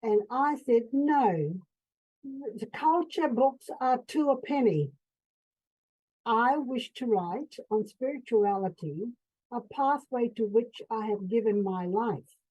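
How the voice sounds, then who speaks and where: Slow-speaking older woman from Fiji. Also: a little high, animated, warm tone, rough recording. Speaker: author and psychotherapist. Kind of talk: radio interview.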